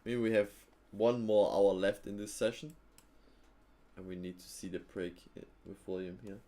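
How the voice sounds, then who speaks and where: A man with a fairly low voice hosts a live trading stream in a loose, chatty way. He thinks aloud, drops fillers, and chuckles easily while answering his viewers. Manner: relaxed, thinking aloud, frequent fillers and quick laughs.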